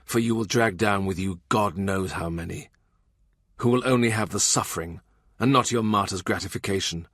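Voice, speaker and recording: A man reading an audiobook voices a royal minister who argues in a forceful, heated, blunt way. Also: fairly low pitch, moderate pace, husky, no echo, studio quality.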